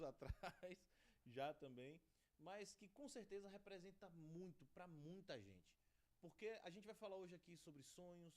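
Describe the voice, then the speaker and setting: A Brazilian man hosting a studio podcast interview, talking with reverence about honor and friendship. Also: high voice. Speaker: podcast host.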